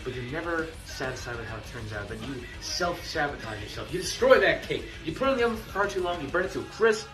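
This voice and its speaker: A man cutting a trash-talking wrestling promo, taunting his opponent with loud bursts and low sneers. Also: sing-song, quick pace, hardly any pauses. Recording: echoey room, far from mic, music behind.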